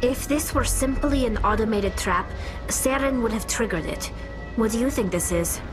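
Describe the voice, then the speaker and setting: A woman's voice: on the high side, normal speed, close to an everyday voice. An actress voicing a young alien female scientist, thoughtful and explanatory. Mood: earnest. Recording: game audio with strong music.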